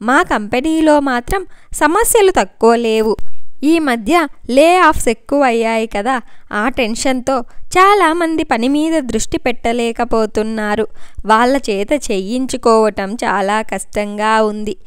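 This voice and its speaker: Woman voicing a gentle husband, pitched very high. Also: measured pace, clear, resonant tone, no echo, studio quality, close to an everyday voice. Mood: matter-of-fact. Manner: gentle, consoling. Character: caring, soothing husband of a working woman.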